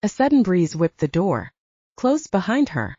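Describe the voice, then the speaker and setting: A woman narrates first-person fiction in a mellow, slightly low voice. Her intonation is animated throughout. The recording is dry and a little dull. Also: a little slow, husky, no room sound. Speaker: audiobook narrator.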